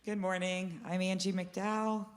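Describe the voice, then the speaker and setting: A woman's voice: fairly low pitch, flat delivery, measured pace, a little hiss or hum. A woman from Ohio casually introducing herself to a group in a friendly, joking way.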